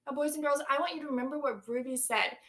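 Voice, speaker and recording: A young woman teacher reads a picture book aloud for children. Her voice sits high and sounds bright and clear. She reads with lively expression and stops to ask the listeners questions. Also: fast talker.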